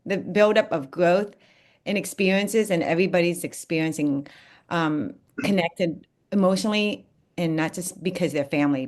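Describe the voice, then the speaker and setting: Interviewer, woman, lower voice, earnest, meandering, video-call sound. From Virginia, United States. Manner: earnest, rambling, apologetic.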